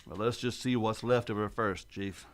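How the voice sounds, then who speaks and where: A man playing a composed, courteous starship captain in a science fiction radio drama, with a measured, authoritative manner. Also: fairly low pitch, fairly even intonation, lightly acted. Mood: decisive.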